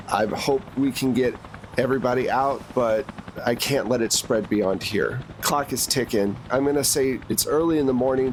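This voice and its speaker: A man's voice: medium pitch, a little hiss or hum, rough recording. A man acting as game master, narrating a tabletop roleplaying game in a casual style.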